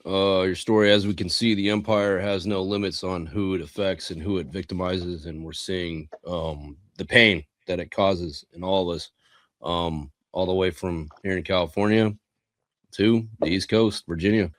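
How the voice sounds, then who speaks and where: A man moderating a community council, measured and formal, with frequent ums. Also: deep voice, fairly even intonation, dry recording. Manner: measured, formal, says um often. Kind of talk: moderating an online forum.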